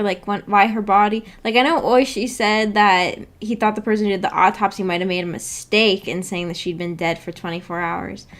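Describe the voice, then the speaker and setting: A woman hosting a casual livestream discussion, musing tentatively out loud with a giggly manner. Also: fairly high pitch. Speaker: host of a book club stream.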